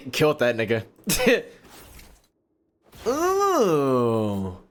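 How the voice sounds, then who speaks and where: Animated young American man reacting to a game. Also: a little high, drawn-out words. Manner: profane, animated, joking. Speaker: gaming streamer. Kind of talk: casual reaction commentary.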